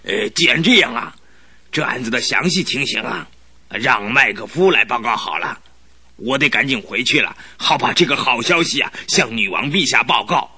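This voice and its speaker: An actor playing an excitable, crafty old duke in his seventies who stammers and exclaims in a radio play. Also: mid-range voice, normal speed, breathy edge, exaggerated voice. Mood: grateful, curious. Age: 70s. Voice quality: flustered, full of exclamations and stammering. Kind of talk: 1970s Chinese radio drama acting.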